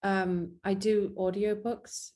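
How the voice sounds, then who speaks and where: English actress chatting candidly. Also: female voice, mid-range voice, flat delivery, slow pace, warm tone. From England. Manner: candid, self-deprecating, hesitant at times. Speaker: actress and guest. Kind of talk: casual interview chat.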